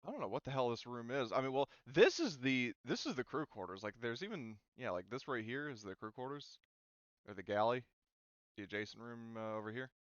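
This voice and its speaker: A man's voice: mid-range voice, animated, fairly quick, no echo, dull audio. A man acting as game master, talking casually and swearing freely to players in a tabletop roleplaying session. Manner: casual, profane.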